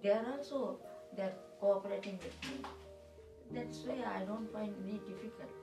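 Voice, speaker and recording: An older grandmother whose first language is Telugu, speaking slowly and haltingly in English. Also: medium pitch, over music. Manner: slow, halting, searching for words. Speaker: grandmother, interviewee. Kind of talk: interview. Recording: echoey room, distant mic.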